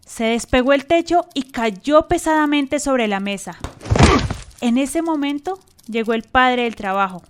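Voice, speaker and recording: A woman's voice: sits high, animated, unhurried, noisy background. A woman narrator telling a scripted story for a radio drama.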